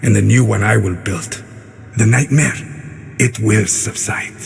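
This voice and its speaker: An actor playing a weary, resolute outcast assassin in a grave, reflective video game monologue. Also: male voice, on the low side, unhurried, creaky. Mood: brooding, resolute. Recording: reverberant hall, music behind.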